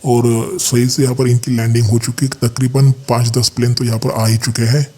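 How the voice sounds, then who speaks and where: A man's voice: medium pitch, little pitch movement, a little fast, darkish tone, husky. An Indian man hosting a gaming channel, giving casual gameplay commentary and explaining things to viewers as his friends.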